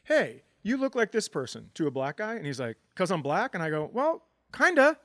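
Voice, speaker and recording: A man's voice: high-pitched, huge pitch swings, normal speed, fairly bright tone, dry recording. A man co-hosting a comedy podcast, chatting casually with joking, profane banter.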